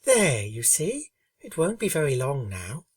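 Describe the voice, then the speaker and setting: Soft soothing timid pony, read by a woman; low and quiet, storybook reading. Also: wildly swooping pitch, a little slow, no room sound, studio quality, distant mic, full character voice. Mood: gentle. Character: shy gentle soft-spoken pegasus pony, female young adult.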